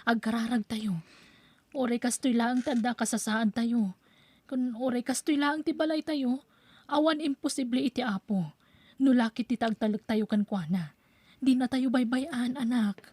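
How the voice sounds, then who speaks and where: A woman's voice: a little high, steady tone, normal speed, a little mellow, breathy edge, no room sound. A woman playing a kind, devout neighbor who comforts others with prayer in a radio drama. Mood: soothing. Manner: soothing, prayerful. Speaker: voice actor.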